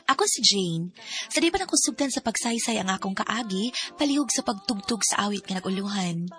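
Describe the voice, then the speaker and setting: A woman's voice: medium pitch, lively intonation, a little fast, fairly bright tone, soft background music. A woman reading a scripted greeting as a radio letter sender. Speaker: letter sender introducing her story.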